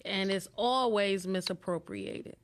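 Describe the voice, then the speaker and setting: A woman's voice: medium pitch, measured pace. A woman with an American accent chatting casually as a podcast host. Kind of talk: casual interview chat. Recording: dull lo-fi sound.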